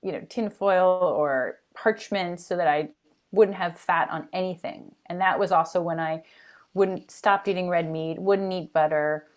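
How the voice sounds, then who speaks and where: A woman guest on a podcast, telling stories in an animated, quick way. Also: lowish voice. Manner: animated, quick, laughs while recalling. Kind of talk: casual interview chat.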